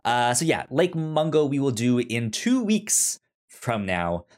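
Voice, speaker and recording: Halting, casual male podcast host. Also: medium pitch, big pitch swings. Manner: halting, repeats and restarts words. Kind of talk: casual chat.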